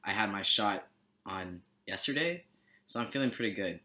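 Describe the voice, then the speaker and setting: A man's voice: lowish voice, lively intonation, normal speed, sharp tone. A young man from Canada chatting casually and jokingly on a webcam in a small bedroom, laid-back and mumbling at times. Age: young adult. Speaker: vlogger. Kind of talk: casual chat.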